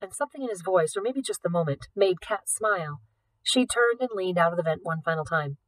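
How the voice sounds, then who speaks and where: A woman narrating a novel as an audiobook reader, with expressive delivery. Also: on the low side, brisk, no echo, far from the mic, low-quality recording. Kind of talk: expressive scripted fiction narration.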